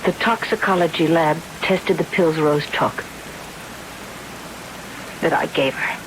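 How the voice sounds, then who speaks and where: A woman's voice: sits low, slow pace. An actress playing a grieving, fiercely determined young woman in an intense, emphatic old-time radio drama style. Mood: shaken. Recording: hissy old radio broadcast.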